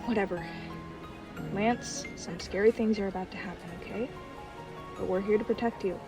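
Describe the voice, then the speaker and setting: A woman's voice: medium pitch, normal speed, natural voice. Sarcastic, brusque but protective dream guardian, played by a woman, audio roleplay, slightly distant over music. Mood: wry, alert.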